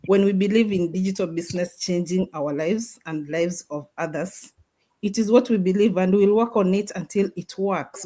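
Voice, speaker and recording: Woman guest, low-pitched, earnest and emphatic motivational talk. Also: dark tone. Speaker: guest speaker. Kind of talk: motivational testimony.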